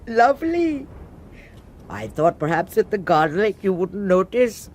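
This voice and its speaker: Mocking, gossipy servant; animated middle voice. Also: female voice, mid-range voice, measured pace, a little hiss or hum. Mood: teasing. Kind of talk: radio drama acting.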